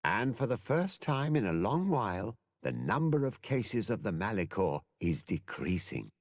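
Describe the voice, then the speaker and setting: An actor playing an older male mentor narrating a video game epilogue in a measured, expressive storytelling manner. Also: lowish voice, no room sound, studio quality, full character voice. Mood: measured, reflective.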